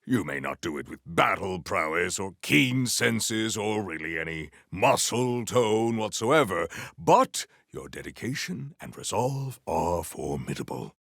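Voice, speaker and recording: Game hero, stern proud warrior: gravelly, formal, theatrical delivery that swings widely in pitch. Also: male voice, very animated, measured pace, few pauses, a bit bright, no room sound, clean studio sound, heavily acted. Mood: solemn, formal. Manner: formal, grandiose, theatrical declarations. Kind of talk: video game character voice lines.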